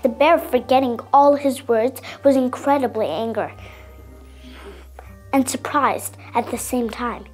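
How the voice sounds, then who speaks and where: A young girl narrating a children's story in an expressive, dramatic read-aloud way. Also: sits high, measured pace, soft background music.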